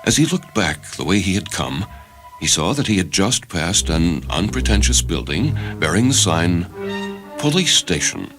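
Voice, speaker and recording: A man's voice: deep voice, big pitch swings, normal speed, a bit bright. A man reading a short story as dramatic scripted narration. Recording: music under the voice. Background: background music.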